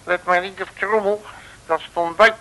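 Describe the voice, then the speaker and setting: An actor in a 1950s radio serial playing a grumbling, stubborn detective with a blunt, slangy, exclamatory way of talking. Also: male voice, high-pitched, fairly even intonation, normal speed, sharp tone, low-quality recording. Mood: brusque.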